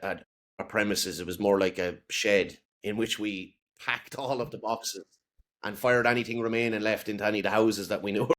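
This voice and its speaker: Male podcast guest with an Irish accent, lowish voice, relaxed anecdotal delivery. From Republic of Ireland. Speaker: company founder, podcast guest. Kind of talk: casual storytelling in an interview.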